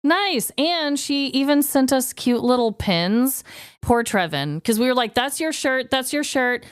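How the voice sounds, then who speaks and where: A woman co-hosting a podcast with casual comedic banter, in a playful, expressive way. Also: sits high, a little slow, clean tone, no echo, studio quality. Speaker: podcast co-host.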